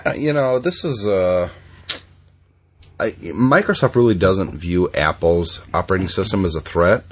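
A man hosting a casual podcast chat, congested and stuffy, talking haltingly with many fillers and restarts. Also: low voice, animated, slightly rough. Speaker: podcast host.

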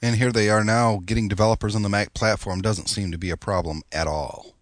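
A man with a low voice, worn thin and strained, talks as the host of a laid-back tech podcast. He talks casually, with frequent fillers and restarts. The dry, close sound comes from a modest home microphone. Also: fairly quick, no room sound. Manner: relaxed, chatty, with fillers and restarts. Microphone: lower-grade home mic.

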